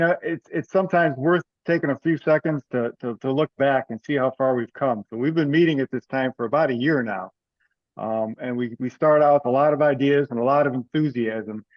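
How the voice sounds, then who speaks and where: A man chairing an informal online meeting, giving updates in a halting way with repeated words. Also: mid-range voice, dry recording. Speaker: meeting chair of an amateur radio club group. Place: online video call. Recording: slightly dull video-call audio.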